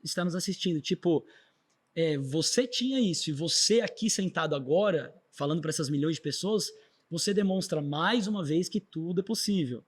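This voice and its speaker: A man with a Brazilian accent hosts a conversational interview. His voice sits slightly higher than average, and he speaks in an affirming, encouraging manner to his guest and listeners. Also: lively intonation, normal speed, husky. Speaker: podcast host.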